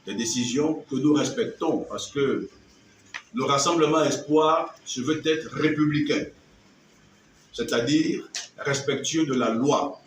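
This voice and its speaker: A man, leading a political movement, giving an emphatic public speech with measured pauses. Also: mid-range voice, slight background noise. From Gabon. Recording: echoey, distant mic with faint ambience.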